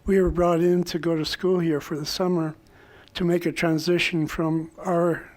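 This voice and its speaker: A man with a soft voice recalling his past as a panelist at a college alumni roundtable. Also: on the high side, little pitch movement, normal speed. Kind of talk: personal recollection on a panel. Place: college event room.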